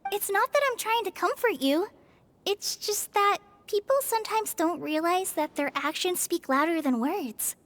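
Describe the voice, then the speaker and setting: A woman's voice: very high voice, normal speed, a bit bright. An actress voicing a kind, sincere, encouraging young girl in a video game cutscene, with an earnest, reassuring manner. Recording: game music under the voice.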